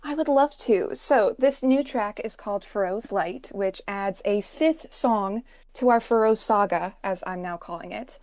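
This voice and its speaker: A woman's voice: medium pitch, measured pace, darkish tone, no room sound. A woman guesting as a music expert, explaining things in a casual, enthusiastic way.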